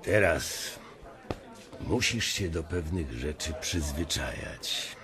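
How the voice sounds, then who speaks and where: A man's voice: extremely low pitch, expressive, slow pace, crowd noise, heavily acted. A man playing a smug, mocking banking boss in a radio drama, drawling with condescension. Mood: smug, amused.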